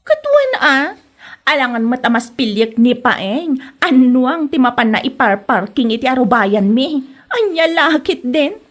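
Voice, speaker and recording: A woman's voice: high voice, sing-song, measured pace, full character voice. A woman playing a haughty, defensive young village woman in a comedy audio drama, indignant and dismissive. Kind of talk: Ilocano comedy radio drama acting.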